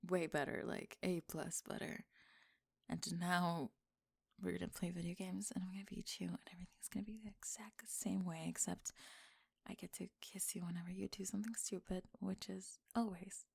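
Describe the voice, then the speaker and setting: A woman playing a young tomboy friend in an intimate ASMR roleplay, halting and flustered, teasing gruffly and often slipping into whispers. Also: fairly low pitch, fairly even intonation. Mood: tender, teasing.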